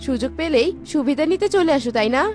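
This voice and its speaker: A woman voicing a haughty, sharp-tongued young village wife in a cartoon, scolding and bossy. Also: high-pitched, expressive, fast talker. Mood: angry, scolding. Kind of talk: cartoon voice acting. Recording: music behind the voice.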